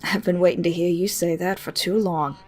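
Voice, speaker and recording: A woman voicing a confident, teasing female shopkeeper, blunt and dry with a soft heart, in a story reading. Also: lowish voice, fairly even intonation, brisk, faint music behind, natural voice. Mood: tender, firm.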